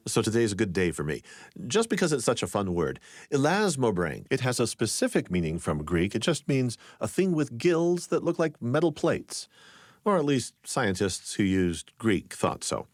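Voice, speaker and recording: A man hosting a podcast, narrating scripted stories in a wry, expressive way. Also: medium pitch, moderate pace, dry recording, studio quality. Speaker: podcast host.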